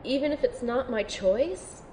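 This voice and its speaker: A woman's voice: high voice, animated, unhurried, warm tone. A woman playing a wounded, self-doubting young woman in an audio drama, speaking in an earnest, introspective way. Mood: doubtful, subdued. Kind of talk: audio drama dialogue and first-person narration. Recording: noisy ambience behind the voice.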